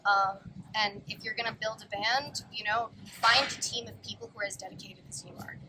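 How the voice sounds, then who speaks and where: A woman's voice: mid-range voice, steady tone, fairly quick, background noise. A woman in a band giving earnest answers during an outdoor festival interview, with frequent fillers. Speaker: band member. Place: outdoor music festival grounds.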